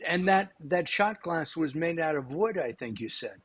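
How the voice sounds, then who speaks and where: A man's voice: a little high, animated, moderate pace, fairly bright tone, husky. A man hosting a cooking show, chatting casually with lots of fillers and restarts. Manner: rambling, many fillers and restarts.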